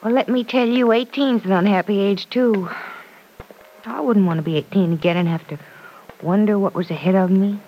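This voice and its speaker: A woman's voice: medium pitch, normal speed, close to an everyday voice. Casting: actress as an earnest, reflective young woman in a vintage radio serial, dull lo-fi sound with faint hiss. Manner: earnest, reflective, light laughs. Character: young woman friend, wistful, thoughtful. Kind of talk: 1940s radio drama acting.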